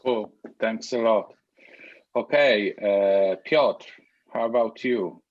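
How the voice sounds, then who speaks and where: A man from Poland hosting a video call meetup, calmly calling on each person to speak in turn. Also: fairly low pitch, slow, lo-fi sound. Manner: relaxed, inviting speakers in turn. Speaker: meetup host.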